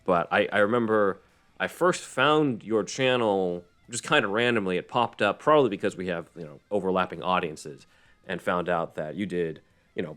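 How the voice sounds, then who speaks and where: A man's voice: deep voice, a bit bright. A man hosting a podcast, chatting casually in a rambling, conversational way. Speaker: podcast host. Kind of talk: casual interview chat.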